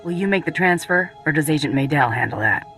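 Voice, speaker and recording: A woman's voice: sits low, expressive, quick pace, fairly bright tone, faint music behind. A woman playing a tough, mercenary bounty hunter in a video game, speaking in a blunt, terse way. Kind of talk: video game cutscene acting.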